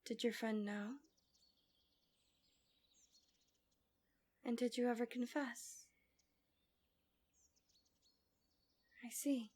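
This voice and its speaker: Woman acting as a possessive villainess, asmr roleplay, highish soft voice, breathy and coaxing, drifting into whispers. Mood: soft sympathy. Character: manipulative villainess tenderly soothing her captive, seductive and possessive.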